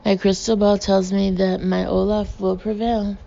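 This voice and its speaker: A woman's voice: mid-range voice, little pitch movement, normal speed, vocal fry, dark tone, highs cut off, natural voice. A woman voicing a gushing, pleading female carnival fortune-teller in a storybook, with a foreign accent and broken English. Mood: coaxing. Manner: broken English with odd word order.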